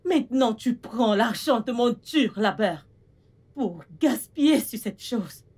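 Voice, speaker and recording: Husky-voiced stern mother. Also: a little high, expressive, takes it slowly, a little mellow, heavily acted. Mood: scolding. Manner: scolding, insistent, repeats demands. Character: stern, domineering mother of grown daughters. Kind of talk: dramatic film dialogue in French.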